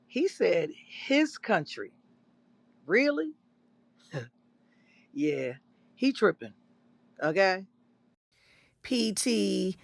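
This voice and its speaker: A man giving casual vlog commentary in a preachy way, quoting scripture with drawn-out asides. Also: high-pitched, no room sound. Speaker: vlogger.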